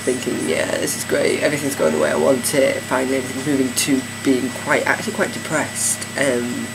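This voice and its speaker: A man talks through a casual video diary in a mid-pitched, gravelly voice, pausing often with fillers and starting phrases over. A steady air-conditioning hum runs under the whole recording. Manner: hesitant, many fillers and restarts. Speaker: vlogger.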